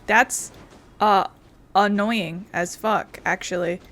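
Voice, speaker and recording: A woman's voice: mid-range voice, steady tone, slow, a bit bright. A young woman giving casual, informal let's play commentary with a wry manner. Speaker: gaming video creator. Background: game music.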